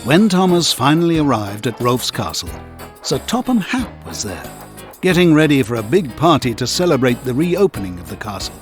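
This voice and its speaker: Expressive male children's storybook narrator. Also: medium pitch, normal speed, soft background music.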